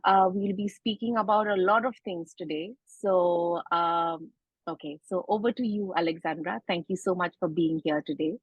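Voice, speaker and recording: Woman, podcast host, hesitant with fillers, husky voice, dull lo-fi dry recording. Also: fairly low pitch, normal speed, no echo. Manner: hesitant, many "uh" and "um". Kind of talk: interview hosting.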